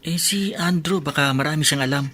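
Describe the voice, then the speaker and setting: An actor playing a supportive young college friend in a radio drama. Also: male voice, fairly high pitch, a little fast, pushed and bright. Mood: concerned. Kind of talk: Tagalog radio drama acting.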